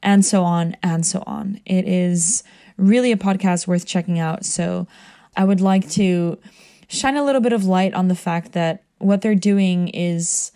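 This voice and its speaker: A woman's voice: lowish voice, a little slow, warm tone. A woman hosting a podcast showcase, reading an upbeat, enthusiastic scripted introduction. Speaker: podcast stage host.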